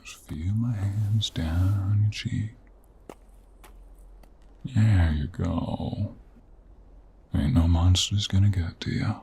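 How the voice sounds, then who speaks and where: A man playing a gruff, teasing cowboy monster hunter with a Western American drawl and a gravelly, husky voice, in an intimate audio roleplay. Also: low-pitched, unhurried, long pauses, darkish tone, a little hiss or hum. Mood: soothing.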